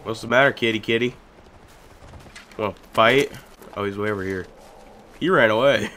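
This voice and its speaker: A young man casually commentating a video game as he plays, chatty and joking, with sudden loud outbursts. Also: on the low side, normal speed, a little hiss or hum. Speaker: gaming YouTuber. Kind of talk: casual let's-play commentary.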